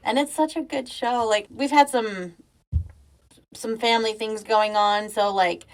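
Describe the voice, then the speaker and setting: A woman co-hosts a chatty podcast with a gravelly, fry-heavy edge to her voice. She talks casually and teases her partner, laughing often. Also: fairly high pitch, steady tone, unhurried, low-quality recording. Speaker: podcast co-host. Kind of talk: casual chat.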